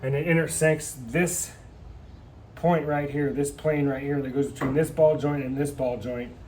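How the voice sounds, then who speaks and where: A man's voice: mid-range voice, fairly even intonation, normal speed, darkish tone. A man casually explaining a car brake swap in his workshop, rambling and joking, calling his viewers kids. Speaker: garage mechanic demonstrating a brake swap. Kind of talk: casual hands-on how-to talk. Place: workshop garage. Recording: noisy shop ambience.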